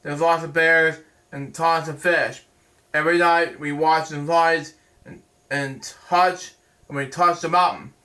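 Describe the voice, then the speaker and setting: An eager young bear cub from a storybook, read out by a man. The voice is bright and pitched slightly high. The cub chatters along with urgent, chirpy eagerness. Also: fairly even intonation, a little slow, far from the mic.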